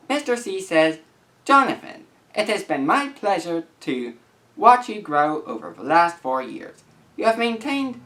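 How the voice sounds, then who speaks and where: A young man of about eighteen reading messages aloud and commenting to camera as a YouTuber, with an earnest, deliberate, halting delivery. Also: high-pitched, a bit bright, distant mic. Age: young adult, about 18.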